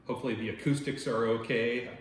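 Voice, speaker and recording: A man in his forties from Oregon hosts an insect channel, chatting to the camera in a loud voice with lots of filler words. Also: medium pitch, fairly even intonation, fast. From Oregon, United States. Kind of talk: casual talk to camera. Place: dining room at home, indoors. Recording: very echoey room, faint noise.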